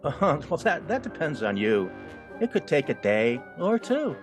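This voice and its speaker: A man's voice: on the high side, wildly swooping pitch, moderate pace, music in the background. A man playing a skeptical, no-nonsense mentor mouse in an audio drama. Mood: wry, firm.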